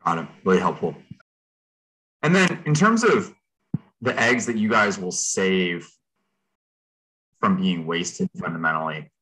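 A man in his thirties with a deep but bright, forward voice. He hosts an informal interview on a video call and puts his questions in a casual, conversational way. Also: expressive, a little slow, pauses often, low-quality recording. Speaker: host questioning a presenter.

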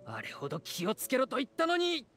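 A woman's voice: sits high, wildly swooping pitch, fairly quick. A woman voicing a flustered, polite, enchanted floating character in a video game, fretful and formal, with music behind. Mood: flustered, urgent. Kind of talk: Japanese video game voice acting.

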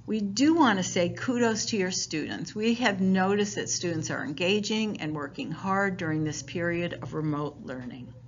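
Lower-voiced woman teacher, lo-fi recording. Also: animated, normal speed, hardly any pauses. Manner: friendly, informal, some fillers. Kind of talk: virtual parent night presentation. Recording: dull lo-fi home recording, faint hiss.

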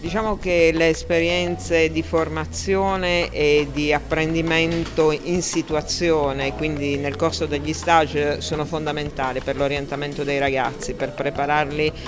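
A woman's voice: low-pitched, flat delivery, a little slow, few pauses. A woman in her fifties, a teacher, giving a formal interview answer into a handheld microphone indoors. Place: classroom, indoors. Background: music.

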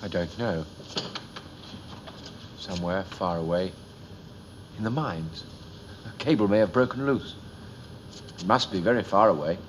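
A pensive man in a period stage drama speaks in a very deep voice. The old television film adds some background noise. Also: measured pace, pauses often, poor audio.

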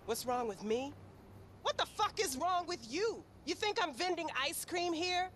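A woman's voice: very high voice, expressive, a little slow, fairly bright tone, a little hiss or hum, exaggerated voice. A woman playing a hostile, foul-mouthed arms dealer in a video game cutscene, suspicious, sarcastic and confrontational. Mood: angry.

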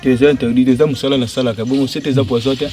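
A man's voice: mid-range voice, steady tone, very fast, mellow, faint music behind. Man giving earnest advice outdoors. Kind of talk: interview statement.

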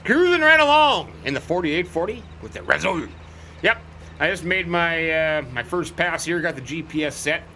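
A middle-aged man with a slightly hoarse, scratchy voice, rambling folksy commentary as he plays a farming game. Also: on the high side, lively intonation, moderate pace, sharp tone. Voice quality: scratchy, cracky throat. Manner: rambling, folksy, chatty asides. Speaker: let's play host.

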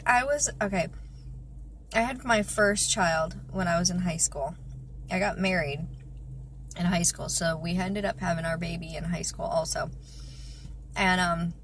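A woman's voice: medium pitch, a little hiss or hum. A woman in her thirties, a mother, chatting casually about faith and family into a phone in her car, rambling with many fillers. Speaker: vlogger, mother of five. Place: parked car, indoors of vehicle. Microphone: phone camera at arm's length.

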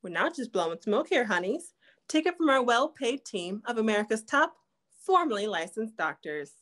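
A woman's voice: on the high side, sing-song, normal speed, a bit bright. A woman playing a sassy singing-trio spokeswoman in a comic 1940s-style radio ad, knowing and showy. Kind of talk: 1940s radio ad acting, comedic.

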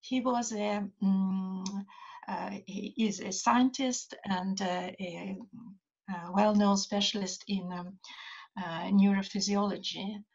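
A woman's voice: medium pitch, steady tone, takes it slowly. An older woman with a Russian accent, a writer and translator speaking in a video call, hesitating often as she searches for English words. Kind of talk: casual conversation over video call. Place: home study with bookshelves. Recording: dull lo-fi video call audio.

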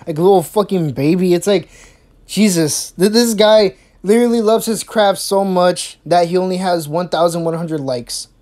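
A woman's voice: moderate pace, warm tone. A woman hosting a mocking reaction commentary, sarcastic and crude, swearing freely and stumbling over restarts. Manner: sarcastic, swears a lot, mimics in squeaky voices. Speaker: host.

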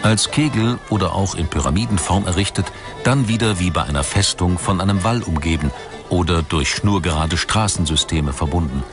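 A man narrating a scripted documentary in a measured, articulate storytelling manner. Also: sits low. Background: background music.

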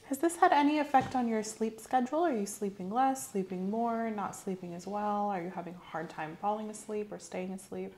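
Young woman doing asmr nurse questions, soft and procedural, bit of background noise. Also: mid-range voice, brisk, few pauses. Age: young adult. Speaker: host playing a nurse in a roleplay. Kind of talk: ASMR medical roleplay, questioning a patient. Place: small indoor room set up as an exam room.

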